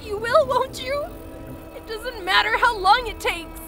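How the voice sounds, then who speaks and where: A distraught young heroine of a fantasy audio drama, played by a woman, with an extremely high voice. She pleads with heavy emotion and draws her words out slowly. Music plays clearly behind her. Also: pushed and bright, full character voice. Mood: frantic pleading.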